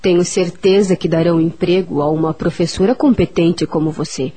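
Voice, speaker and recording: Formal Brazilian woman doctor for radio drama: low-pitched, husky, businesslike. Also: steady tone, fairly quick, dark tone. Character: formal, fair-minded woman doctor managing household affairs. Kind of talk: radio soap opera acting.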